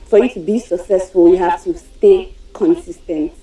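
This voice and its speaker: Dark-voiced woman, very echoey. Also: on the low side, normal speed, far from the mic. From Nigeria. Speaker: contestant.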